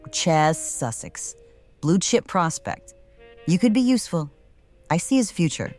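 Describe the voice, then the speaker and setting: An actress playing a smirking, cruel wrestling boss in a noir audio drama, with a taunting, theatrical manner. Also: female voice, sits low. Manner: taunting, theatrical, hums while eating.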